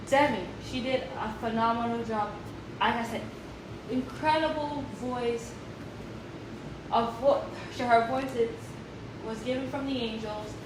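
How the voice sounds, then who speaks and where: A woman's voice: fairly high pitch, a little slow, long pauses, echoey room, noisy background. A woman in her thirties chatting casually and enthusiastically in a vlog, rambling with many fillers and restarts. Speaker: vlogger.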